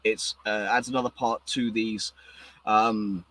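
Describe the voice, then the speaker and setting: A hoarse man hosting a casual podcast chat, talking excitedly and rambling with many restarts. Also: fairly low pitch, measured pace, low-quality recording. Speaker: podcast host.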